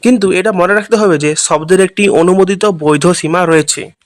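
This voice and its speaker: A man's voice: high voice, normal speed, a little hiss or hum. A man with a Bengali accent giving an informal tutorial as an English teacher, explaining step by step and checking that students follow. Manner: instructional, often checking understanding with short tag questions. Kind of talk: informal tutorial, reading and translating line by line.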